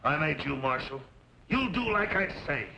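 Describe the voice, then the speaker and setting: Gravelly, forceful western boss. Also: male voice, a little high, very animated, moderate pace, heavily acted. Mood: bitter, commanding. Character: ruthless domineering western land baron stuck in a chair. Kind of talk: old-time radio western acting. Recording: dull, noisy old radio recording.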